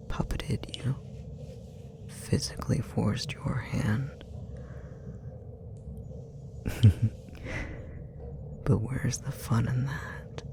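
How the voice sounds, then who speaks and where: Male actor as possessive demon, coldly menacing, very heavy vocal fry, whispered ASMR roleplay, condescending. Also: mid-range voice. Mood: sly, amused. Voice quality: breathy whisper with gravelly fry. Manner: slow, deliberate, taunting, condescending. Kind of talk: ASMR roleplay, intimate monologue to the listener.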